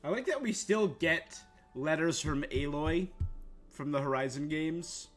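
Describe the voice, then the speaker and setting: A man's voice: on the high side, measured pace. Man hosting a casual gaming livestream, music behind. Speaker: game streamer, host.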